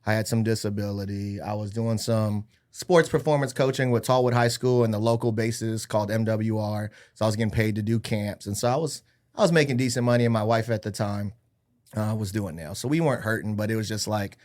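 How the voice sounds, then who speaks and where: A man's voice: on the low side, fairly quick, darkish tone. A man in his thirties from Hawaii, a podcast guest telling his life story in an upbeat, joking, self-deprecating way. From Hawaii-born, raised in California and Arizona. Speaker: podcast guest, real estate agent. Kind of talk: casual chat.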